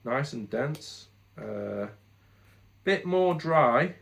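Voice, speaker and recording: A man's voice: mid-range voice, expressive, drawn-out words, creaky, a bit bright. A British man with a congested head cold, casually reviewing products in a chatty, candid, rambling way with frequent restarts. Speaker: product reviewer, channel host.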